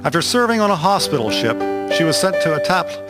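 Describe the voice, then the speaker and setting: A man's voice: on the high side, sing-song, fast. Male narrator over prominent music. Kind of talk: scripted documentary drama narration. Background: instrumental music.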